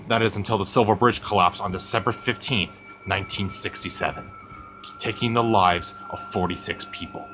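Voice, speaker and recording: A man voicing an ominous monster storyteller, telling a spooky tale with drawn-out, dramatic emphasis in an amateur roleplay. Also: lowish voice, a bit bright, slight background noise. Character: Zilla Dude ten, ominous monster storyteller.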